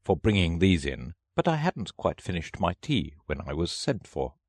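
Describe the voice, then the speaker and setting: A man's voice: low-pitched, sing-song, moderate pace, a little mellow, no room sound. A man voicing a nervous, apologetic hatter from a storybook, with a hesitant manner.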